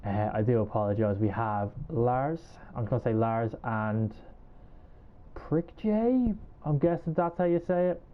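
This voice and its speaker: A man's voice: medium pitch, expressive, unhurried. A man with an Irish accent hosting a casual podcast, chatty and hedging as he runs through a list. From Ireland. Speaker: podcast host. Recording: tinny phone-like call audio.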